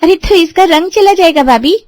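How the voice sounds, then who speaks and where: A woman's voice: very high voice, expressive, moderate pace, a little mellow, no room sound, pitched above the performer's own voice. A woman voicing a chatty young sister-in-law who wheedles and pleads nervously. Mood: nervous pleading.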